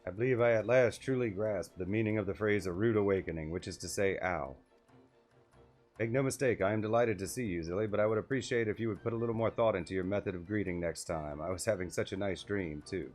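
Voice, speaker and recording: Guy doing a chatty clueless game adventurer, low voice, flowery polite wording, music behind. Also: quick pace, a little mellow, close to an everyday voice. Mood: groggy dignity, then polite complaint. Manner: wordy, flowery, mock-formal. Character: chatty, scatterbrained, polite male adventurer, comically oblivious. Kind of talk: video game dialogue read aloud.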